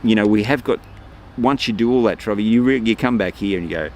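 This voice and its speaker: A man's voice: on the low side, quick pace. An older man with an Australian accent, a voice artist chatting in a casual interview, rambling and breaking off mid-sentence. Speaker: voice artist guest. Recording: noisy outdoor street ambience.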